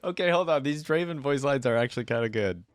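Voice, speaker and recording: Young guy doing casual reaction commentary, amused, lively pitch, dry close sound, faint music. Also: fast, no room sound. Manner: amused, informal. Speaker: reaction video host.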